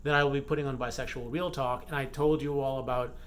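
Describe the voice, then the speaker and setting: A man's voice: mid-range voice, steady tone, quick pace. A man from the Bahamas chatting casually in a vlog update, rambling with frequent fillers and self-corrections. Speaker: romance author and vlogger.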